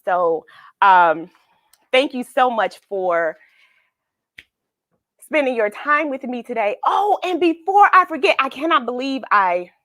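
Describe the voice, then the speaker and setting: A woman's voice: high voice, big pitch swings, slow, pauses often, fairly bright tone, no echo, clean studio sound. A woman hosting a children's read-along, chatting informally in a playful, affectionate, encouraging way and repeating phrases for emphasis.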